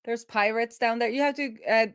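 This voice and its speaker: A woman's voice: a little high, fairly even intonation, moderate pace, clean tone, no echo, clean studio sound. A middle-aged woman hosting a livestream in casual, conversational chat. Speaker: livestream host.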